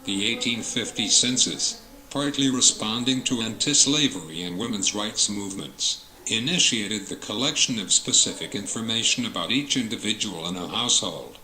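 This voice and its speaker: A man's voice: on the low side, normal speed, bright, forceful tone. A man reading formal academic passages aloud as a test example. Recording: roomy echo with noisy background.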